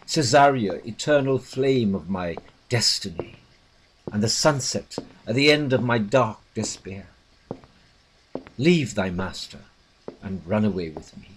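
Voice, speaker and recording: Low-voiced man playing a lovestruck noblewoman, noisy recording. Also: animated, a little slow. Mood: rapturous longing. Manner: declamatory, archaic, romantic delivery. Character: lovestruck young widowed noblewoman, yearning and poetic. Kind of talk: theatrical Shakespearean-style soliloquy.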